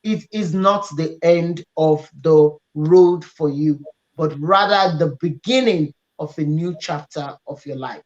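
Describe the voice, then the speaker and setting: A woman giving closing remarks as a panel guest, speaking in a halting way with fillers. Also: deep voice, a little mellow. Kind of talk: closing remarks on a talk show. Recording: muffled lo-fi audio.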